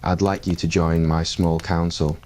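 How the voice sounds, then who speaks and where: Un homme qui joue un jeune roi assuré et taquin, à la voix basse et à l'aplomb tranquille. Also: male voice, moderate pace, close to an everyday voice. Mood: smug, confident. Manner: low, smug and relaxed. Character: confident, teasing young male king.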